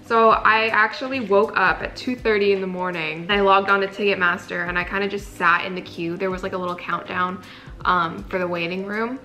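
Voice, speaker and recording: A young woman from California chatting casually in a vlog, excitable and full of "like", her voice a bit raspy. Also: medium pitch, fairly quick, faint music behind. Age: young adult. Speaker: incoming college student vlogger.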